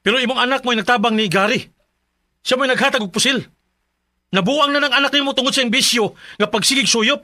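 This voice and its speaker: An actor in a Bisaya radio drama playing a protective, aggrieved older brother with a hot temper. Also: male voice, very high-pitched, fast talker, pushed and bright, dry recording, clean studio sound, full character voice. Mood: angry, accusing.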